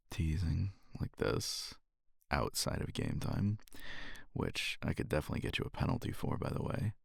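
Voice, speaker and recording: Man acting as cocky male rival, romance ASMR roleplay, extremely low husky voice, unhurried teasing murmur, close and dry. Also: darkish tone, no room sound, exaggerated voice. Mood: seductive, challenging. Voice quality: gravelly, husky, breathy. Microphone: close microphone.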